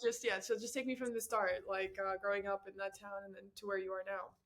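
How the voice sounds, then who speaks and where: A woman's voice: mid-range voice, flat delivery, fast talker. Chatty young woman interviewer. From New York. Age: 20s. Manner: chatty, heavy use of "like", laughs easily. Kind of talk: casual interview chat.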